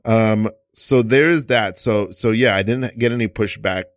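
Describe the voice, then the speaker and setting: A man in his thirties hosting a casual podcast chat, talking haltingly with many restarts and fillers. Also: on the low side. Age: 30s. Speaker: podcast host. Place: studio. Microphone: studio mic.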